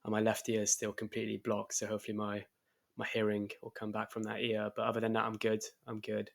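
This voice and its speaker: A fairly low-voiced man chatting on a fan podcast, his voice stuffy and nasal from a cold. He talks quickly in a level, unvaried tone. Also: completely flat delivery, creaky. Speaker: podcast co-host. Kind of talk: casual chat.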